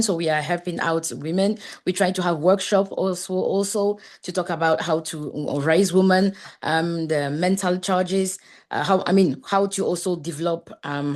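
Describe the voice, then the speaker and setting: Woman from ghana, guest on a call, lowish voice, lots of ums and restarts. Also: a little mellow. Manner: earnest, halting with many fillers and restarts. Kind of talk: conversational interview.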